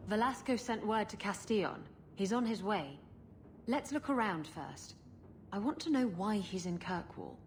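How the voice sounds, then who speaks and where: A woman's voice: mid-range voice, expressive, normal speed, a little hiss or hum, natural voice. A woman playing a roguish, sly pirate captain in a video game, wry, flippant and theatrical.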